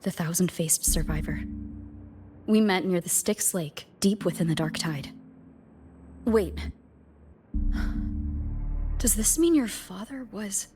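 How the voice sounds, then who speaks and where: A woman's voice: lowish voice, lively intonation, slow pace, pauses often, a little mellow, soft background music. A woman playing a serious, inquisitive game heroine, speaking in an earnest, careful way as she pieces clues together. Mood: intent, questioning. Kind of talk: scripted game story dialogue.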